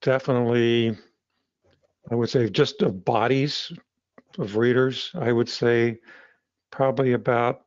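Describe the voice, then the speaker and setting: A man's voice: medium pitch, fairly even intonation, darkish tone, no room sound, lo-fi sound. A man, a magazine publisher, talking casually in an interview, often restarting his sentences. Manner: halting, with frequent restarts.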